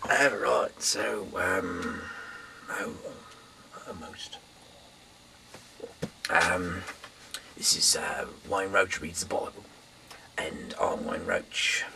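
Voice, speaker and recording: A man reading scripture aloud informally as a host, halting and rambling, stumbling over words and trailing off, with some noise in the recording. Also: deep voice, vocal fry.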